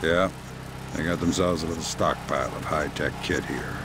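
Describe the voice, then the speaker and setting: An actor playing a menacing, wisecracking veteran spy in a video game, sardonic and deadpan. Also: male voice, extremely low pitch, moderate pace, background noise. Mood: wry, deadpan.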